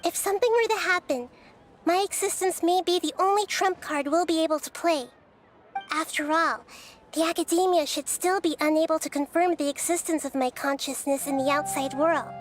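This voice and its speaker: A woman voicing a wise, composed young goddess in a child's form, measured and earnest, in an anime game. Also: very high voice. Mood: calm, thoughtful. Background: game background music.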